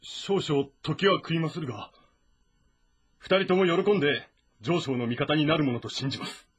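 Husky, eager loyal officer. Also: male voice, fairly high pitch, moderate pace, soft background music. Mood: respectful. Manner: deferential, formal, earnest. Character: loyal, eager male officer serving his lord. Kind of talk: dramatic Japanese historical game voice acting.

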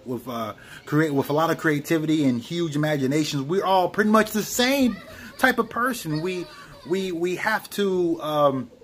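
A man's voice: on the high side, slightly rough. A young man about thirty giving a casual motivational vlog from a small room at home, talking earnestly into a close camera and calling his viewers "man" a lot. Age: young adult. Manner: earnest, animated, lots of "man" and "you know what I'm saying". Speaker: vlogger. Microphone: camera close to face, webcam or phone.

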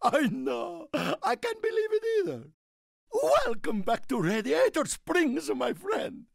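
Small Italian cartoon car, Italian accent, effusive, gravelly edge, hugely animated pitch, video game lines. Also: male voice, takes it slowly, no echo, studio quality, exaggerated voice. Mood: cheerful, encouraging. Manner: theatrical, effusive, speaks of himself in third person. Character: excitable, friendly little Italian car, a tire enthusiast.

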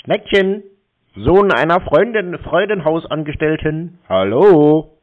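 A man delivers teasing phone banter with a deadpan, provoking manner. His pitch moves around in lively swings, and the audio is somewhat dull and lo-fi. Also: takes it slowly. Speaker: prank call recipient, scambaiter.

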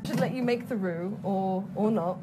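A woman's voice: mid-range voice, moderate pace, over loud music. A British woman cook chatting casually as she works, blunt and sweary with dry teasing. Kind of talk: casual chat while cooking. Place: studio kitchen, cooking noise behind. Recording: very noisy kitchen, off-the-cuff livestream.

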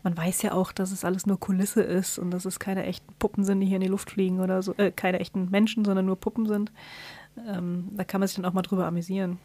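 A woman with a mid-pitched voice talks as a podcast co-host. She explains casually and matter-of-factly. The recording is dry, with hardly any room sound. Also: little pitch movement, fast, mellow, no room sound.

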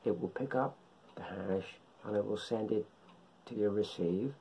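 A middle-aged man giving an informal tutorial in short, halting phrases, speaking at home into a camera microphone. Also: lowish voice, gravelly, mellow, breathy edge. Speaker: amateur radio hobbyist presenter. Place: indoors, living room at home.

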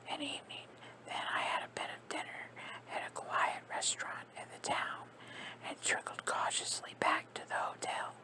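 A woman reading a comic novel aloud in a soft, steady whisper, in the style of an ASMR audiobook. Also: poor audio. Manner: soft unvoiced whisper throughout. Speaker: narrator.

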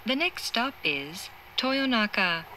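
Very slow, bright female train announcer. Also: on the high side, big pitch swings, rough recording. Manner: formal, deliberate, clearly enunciated. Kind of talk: scripted automated station announcement. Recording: train noise, recorded inside carriage. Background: moving train interior noise.

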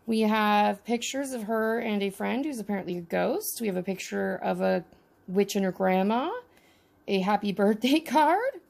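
A woman's voice: medium pitch, lively intonation, moderate pace, vocal fry, a little mellow. A woman casually narrating a miniature unboxing with chatty, wry asides. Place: indoors at a tabletop.

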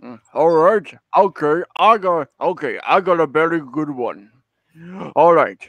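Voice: medium pitch, expressive, unhurried, no room sound, dull audio. A man chatting casually on a video call during a guessing game of impressions. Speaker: guest in an online puppet show.

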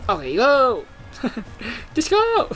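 Excitable gamer with a very lively pitch. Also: drawn-out words, faint music behind. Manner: excitable, exclaiming and swearing. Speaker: gaming video host. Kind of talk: casual gameplay commentary, reading game text aloud.

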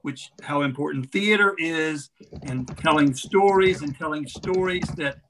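A man's voice: fairly high pitch, unhurried, a little hiss or hum, rough recording. A middle-aged man giving earnest, emphatic, reflective remarks over a video call. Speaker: theatre director. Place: room indoors on a video call. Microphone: video call computer mic.

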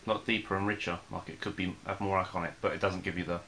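A young man casually reviewing a beer at home, talking in a relaxed, hesitant way with many fillers. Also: low-pitched, racing, a little hiss or hum. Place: small room in front of a plain wall.

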